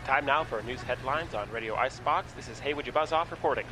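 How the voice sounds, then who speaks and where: An actor playing a dry small-town radio host reading weather and news in a comedy radio play. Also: male voice, mid-range voice, fast talker, loud music behind the voice, lightly acted, low-quality recording. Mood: deadpan. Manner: deadpan, dry.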